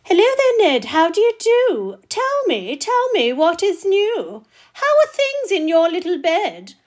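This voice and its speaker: Woman as a high, chirpy, nosy storybook caller. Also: huge pitch swings, measured pace, darkish tone, heavily acted. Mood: eager, nosy. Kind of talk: children's picture book read-aloud.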